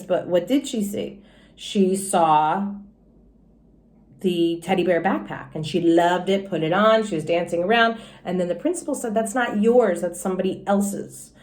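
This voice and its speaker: A woman's voice: medium pitch, darkish tone. A woman speaking as a teacher doing a storybook read-aloud, with asides and questions for the children. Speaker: teacher reading aloud to students.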